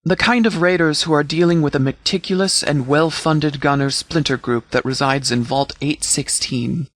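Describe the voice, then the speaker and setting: A man playing a stern sheriff in a video game, giving formal, measured briefings with authority. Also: on the high side, fairly bright tone, dry recording, lightly acted. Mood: matter-of-fact, authoritative. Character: stern, formal sheriff commanding a post-apocalyptic settlement militia. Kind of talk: scripted video game dialogue, military briefing.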